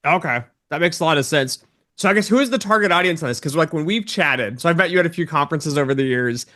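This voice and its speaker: A man's voice: a little high, quick pace. A man hosting an interview show, asking loose, rambling questions. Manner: relaxed, rambling questions with fillers. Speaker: podcast host.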